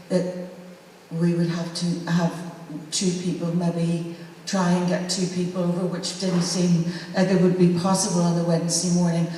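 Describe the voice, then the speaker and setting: A woman's voice: fairly low pitch, dark tone. A woman talking informally on a discussion panel, repeating phrases for emphasis. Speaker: panel speaker. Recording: very echoey hall, far mic, faint noise.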